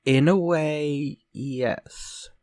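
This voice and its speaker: An actor playing a sinister, vengeful ex-convict murderer in retro radio drama style, speaking in a slow, theatrical, menacing way. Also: male voice, a little high, a little mellow, no room sound, studio quality. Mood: calm, sly.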